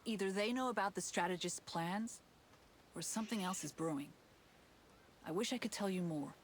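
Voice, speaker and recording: An actress playing an anxious, guarded company insider in a video game, explaining things earnestly. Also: female voice, medium pitch, normal speed, a little hiss or hum, lightly acted. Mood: guarded, serious. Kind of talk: cinematic game acting.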